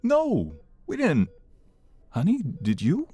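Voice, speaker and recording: A man playing a devoted young husband and flustered expectant father in an audio roleplay, speaking haltingly. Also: high-pitched, huge pitch swings, mellow, breathy edge, soft background music, exaggerated voice. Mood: stunned.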